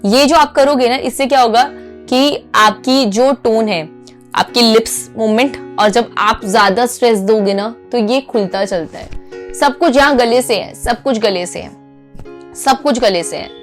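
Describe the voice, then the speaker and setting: A woman's voice: a little high, measured pace, faint music behind. A woman with an Indian accent gives informal instruction to viewers, emphatic, repeating key phrases, checking in often and switching between two languages. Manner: mixes Hindi with English phrases. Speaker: anchor giving voice coaching.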